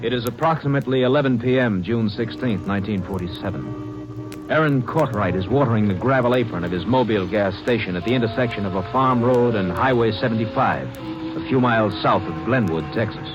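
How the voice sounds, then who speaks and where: A man narrates in a low, measured, matter-of-fact voice. Music plays behind him. The old radio recording is muffled. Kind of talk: scripted narration.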